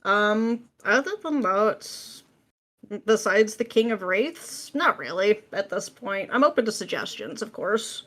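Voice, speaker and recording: Female interview guest, medium pitch, informal conversational read, explains by quoting her own thoughts. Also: animated, measured pace, a bit bright. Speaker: podcast guest, script writer. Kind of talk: casual interview chat.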